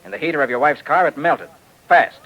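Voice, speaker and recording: An actor playing a shrewd, assured American private detective in an old-time radio drama, explaining things crisply. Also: male voice, on the high side, expressive, brisk, muffled recording. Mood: confident, commanding. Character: shrewd, brisk, commanding male private detective. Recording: old radio show recording.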